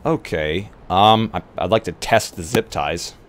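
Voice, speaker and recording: A man's voice: deep voice, measured pace. A man chatting casually as a player in a tabletop roleplay game, saying what his character does.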